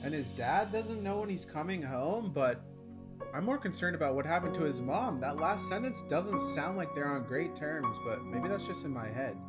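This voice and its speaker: A man's voice: a little high, animated, moderate pace. A young man giving casual, slangy video game commentary with a wry manner. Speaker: gaming content creator. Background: game music.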